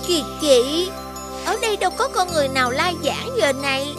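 A woman voicing a tiny, playful younger-sister fairy with a girlish manner in an animated fairy tale. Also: high-pitched, brisk. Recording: music behind the voice.

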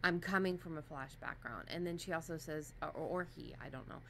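A woman's voice: deep voice, a little fast, gravelly, pushed and bright, a little hiss or hum. A woman hosting a podcast, chatting casually and explaining writing advice with made-up examples. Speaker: podcast host. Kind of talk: casual advice discussion about fiction writing.

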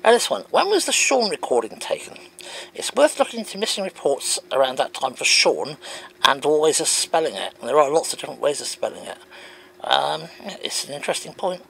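A man's voice: a little high, fairly quick, gravelly, husky, rough recording. A man reading out comments and answering them in an emphatic, wondering way. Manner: animated, wondering aloud, emphatic.

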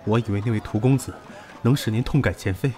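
A man's voice: mid-range voice, fast talker, a little mellow, slight background noise, lightly acted. A man playing a loyal, hot-blooded imperial bodyguard in a Chinese period audio drama, earnest and intense.

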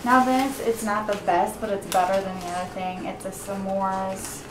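A woman's voice: mid-range voice, flat delivery, moderate pace. A young American woman chatting casually and informally in a rambling vlog style, recorded on a camera microphone. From United States, Indiana. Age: young adult. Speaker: vlogger. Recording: roomy echo, noisy handheld camera audio.

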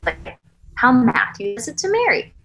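A woman acting an earnest girlfriend in her thirties, in a relationship drama. Her voice is fairly high and swings freely in pitch. She talks naturally and gets defensive, recorded over a video call. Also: wildly swooping pitch, normal speed, vocal fry, a bit bright, heavily acted, poor audio. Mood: frustrated. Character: earnest, defensive thirty-year-old girlfriend in a couple's spat. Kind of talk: naturalistic acted table read. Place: small plain room, on video call.

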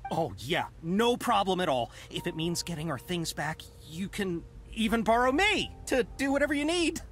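An actor voicing a friendly, accommodating caravan merchant with a hopeful manner. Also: male voice, high voice, big pitch swings, normal speed, fairly bright tone, faint music behind.

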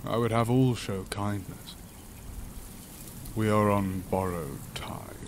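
A deep, solemn, gentle alien creature. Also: male voice, slight background noise. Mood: grave. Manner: formal, measured, stilted speech. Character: sentient imprisoned alien monster, gentle, solemn, formal, philosophical. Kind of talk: audio drama acting.

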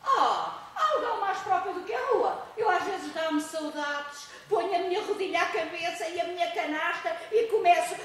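A woman's voice: extremely high pitch, normal speed, few pauses, a bit bright, a little hiss or hum. An older woman acting an old street fish seller who calls out her wares, on an amateur stage. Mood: nostalgic. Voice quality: hawker's calls. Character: old street fishwife, nostalgic and loud. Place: school auditorium stage. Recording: echoey hall from far off.

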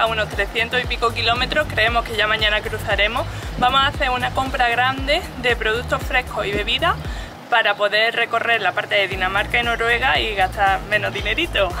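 Chatty Spanish woman vlogging over music. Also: fairly high pitch. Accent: Spain Spanish. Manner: cheerful, chatty, explaining places and food. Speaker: travel vlogger. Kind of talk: casual travel narration to camera. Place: outdoors in old town streets and shops. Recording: background music and crowd chatter.

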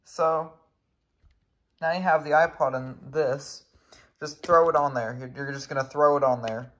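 A man's voice: fairly high pitch, steady tone, measured pace, fairly bright tone. A man giving a casual hands-on tutorial as a video creator, recorded indoors at home on a handheld camera. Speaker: video creator demonstrating radios.

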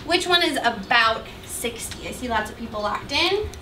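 Casting: young female teacher, noticeably high voice, directive and questioning, instructing children from across a noisy room. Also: animated, moderate pace, recorded from a distance. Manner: directive, questioning, projecting to a class. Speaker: math teacher. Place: indoor school classroom with students. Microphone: distant camera microphone. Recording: roomy, noisy classroom, picked up from afar.

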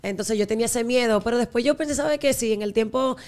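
Dominican-accented woman, relaxed studio chat. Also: a little high, fast. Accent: Dominican Spanish. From United States, Miami area. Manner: relaxed, mixes English words into Spanish. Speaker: guest, singer. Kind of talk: casual podcast interview. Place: podcast studio set. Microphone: studio microphone on stand.

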